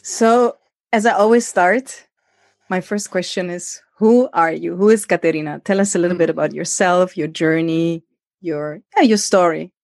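A woman hosting a podcast, reading a measured introduction and asking her guest questions. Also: lowish voice, darkish tone, no echo, studio quality. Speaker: podcast host. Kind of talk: scripted introduction and interview questions.

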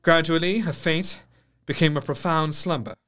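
An actor playing a grave, shaken Victorian doctor, narrating a diary in measured, literary style for an audio drama. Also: male voice, on the high side, a bit bright, close to an everyday voice. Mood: somber. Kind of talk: dramatic scripted first-person narration.

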